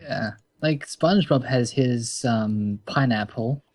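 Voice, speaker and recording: A man's voice: medium pitch, slow pace, darkish tone, dry recording. Laid-back young man, dry humor. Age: young adult. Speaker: podcast guest. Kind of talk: casual chat.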